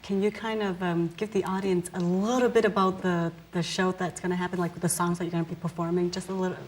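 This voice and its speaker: A woman's voice: lowish voice, little pitch movement, fast. A woman hosting a studio interview, encouraging her guest with constant backchannels. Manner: encouraging, frequent mm-hmm backchannels. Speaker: interviewer, host.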